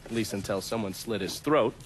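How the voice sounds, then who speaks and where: An actor playing a sardonic, foul-mouthed government agent in a video game, blunt and sarcastic. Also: male voice, on the low side, fairly quick, a little hiss or hum, lightly acted. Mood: dry, scornful. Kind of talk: dramatic video game dialogue.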